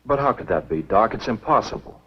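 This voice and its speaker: A man acting an earnest, duty-bound American lawman in a 1950s B-movie, speaking in a measured, matter-of-fact, husky voice. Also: fairly low pitch, expressive. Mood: earnest, reasoning. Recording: dull, muffled 1950s film soundtrack.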